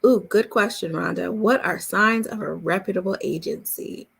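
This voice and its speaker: A woman with a medium-pitched voice and plenty of gravelly creak. She talks as a coach in a casual chat, answering questions point by point. Key advice gets stressed and repeated. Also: moderate pace. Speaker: voice-over coach hosting a live Q&A.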